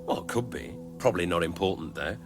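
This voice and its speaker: An actor playing an unflappable, pedantic alien travel companion in a radio comedy, offhand and casual. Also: male voice, low voice, lively intonation, quick pace, music in the background. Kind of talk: radio comedy drama acting.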